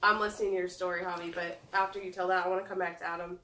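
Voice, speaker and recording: A woman co-hosting a casual podcast, with a bright, clear tone. She sits back from the mic in a roomy space and keeps the conversation moving. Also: fairly low pitch, level tone, racing, far from the mic. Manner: steers the conversation, laughs easily. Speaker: podcast co-host.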